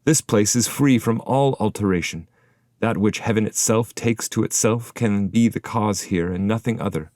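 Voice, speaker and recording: A man's voice: on the low side, moderate pace, darkish tone, no echo, clean studio sound, lightly acted. A man voicing the courteous, solemn ghost of an ancient poet in a storybook verse reading, with formal, declamatory phrasing. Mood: solemn explaining.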